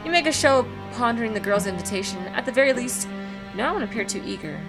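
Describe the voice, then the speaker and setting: A woman's voice: a little high, animated, quick pace. Woman reading a visual novel over music. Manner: blunt, sweary reactions between read passages. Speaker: gaming YouTuber. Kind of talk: reading a visual novel aloud with casual asides.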